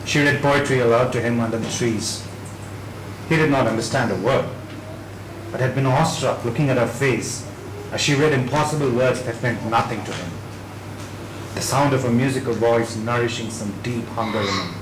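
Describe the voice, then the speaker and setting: A man reading literary fiction aloud in a dramatic narrating style, with measured phrasing. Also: medium pitch. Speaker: reader at a dramatised book reading. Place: indoor event room at a live reading. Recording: echoey room with clear background noise.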